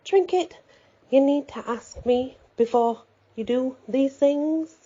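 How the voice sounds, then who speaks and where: Give the storybook a kindly, fussy older woman baker, played by a woman, who scolds gently. Her voice sits high with some huskiness, on a dull, lo-fi recording. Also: takes it slowly, slightly rough, full character voice. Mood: scolding.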